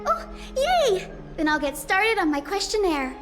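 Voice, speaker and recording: Very high-pitched bubbly young healer girl. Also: a little slow, over music, exaggerated voice. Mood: excited, friendly. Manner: cheerful, gushing, excitable. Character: sweet, bubbly, eager young healer priestess. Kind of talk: video game voice acting.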